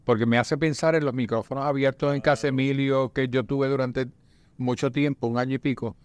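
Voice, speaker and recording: A man talking casually among fellow writers, making measured, thoughtful suggestions. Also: medium pitch, steady tone, smooth, dry recording. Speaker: guest poet. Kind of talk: casual conversation.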